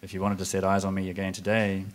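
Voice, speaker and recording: A man's voice: low-pitched, completely flat delivery, fast talker, lightly acted. A man playing a scornful, bellowing prologue. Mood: scolding. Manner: loud theatrical scolding, mock laughter. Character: scornful mocking male prologue speaker. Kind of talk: live stage reading of a comedy. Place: live performance space with audience.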